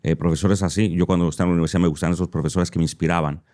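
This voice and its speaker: A man hosting an interview who asks long, halting questions full of restarts. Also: sits low, fairly even intonation, dark tone. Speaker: interview host. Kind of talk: conversational interview.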